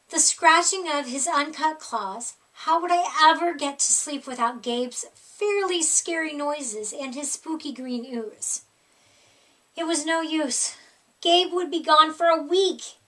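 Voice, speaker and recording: A woman reading a picture book aloud as expressive children's story narration. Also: high-pitched, distant mic, low-quality recording. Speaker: storybook reader.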